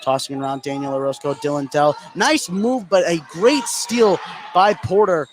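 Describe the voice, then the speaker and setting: A man with a slightly high voice calls a live basketball game as a radio play-by-play commentator. He is excitable when the action picks up. Clear background noise from the gym runs behind his voice. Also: normal speed. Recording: noisy live gym broadcast. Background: loud game ambience.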